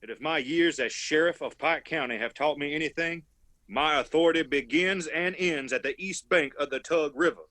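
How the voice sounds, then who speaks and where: An actor playing a weary, pragmatic middle-aged county sheriff with an Appalachian accent in a radio drama. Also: male voice, on the high side, normal speed, sharp tone.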